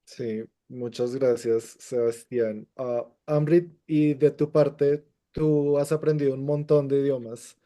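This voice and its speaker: Male host, very dark and mellow tone, flat delivery, calm measured questions. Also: medium pitch. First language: Spanish. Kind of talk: casual podcast chat.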